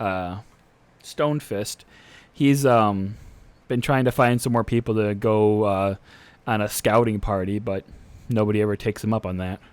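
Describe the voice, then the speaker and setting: A man's voice: on the low side, smooth, close to an everyday voice. A man voicing a friendly, chatty female elf barkeep, talking with many fillers. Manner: hesitant, lots of fillers.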